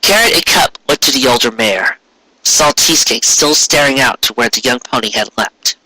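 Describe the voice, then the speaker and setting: A man reading a story aloud as an expressive narrator. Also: mid-range voice, normal speed, breathy edge, no room sound, poor audio. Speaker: narrator, fan fiction reader.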